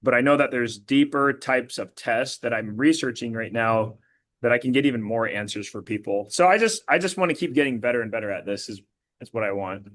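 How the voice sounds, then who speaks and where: A man, a coach who has partly lost his voice from yelling, answering interview questions casually with lots of fillers and restarts. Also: medium pitch, brisk. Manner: rambling, many fillers and restarts. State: voice partly lost, a bit hoarse. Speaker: blood analysis practitioner and girls flag football coach.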